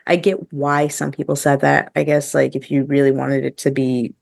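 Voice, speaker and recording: A woman's voice: a bass voice, flat delivery, moderate pace, creaky, darkish tone, no room sound, studio quality. A woman podcast host chatting casually and giving her opinions, with a lot of "like" thrown in. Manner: chatty, heavy use of "like", opinionated. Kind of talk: casual review chat among co-hosts.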